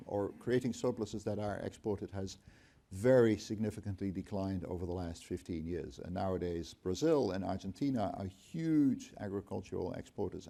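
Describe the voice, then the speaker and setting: A middle-aged man speaks from an auditorium stage into a lapel mic. His voice is mellow and rather low. He explains at an even pace, with dry asides and frequent ums. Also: vocal fry. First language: Dutch. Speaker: company CFO, guest speaker. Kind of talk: onstage conversation. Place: auditorium stage before an audience.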